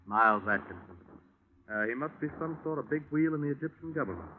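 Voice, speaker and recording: Actor as earnest American chaplain, muffled old radio. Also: male voice, a little high, animated, fast, natural voice. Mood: grave, confiding. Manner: earnest, persuasive, emphatic. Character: earnest, folksy American male army chaplain turned missionary pastor. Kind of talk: 1950s American radio drama acting. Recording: muffled, noisy vintage radio broadcast.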